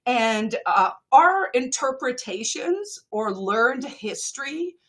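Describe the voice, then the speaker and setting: A middle-aged woman from South Africa, a native Afrikaans speaker with a South African accent, talking as an interview guest in a lively, animated way, laughing between points. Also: high voice, slow. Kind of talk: conversational interview storytelling.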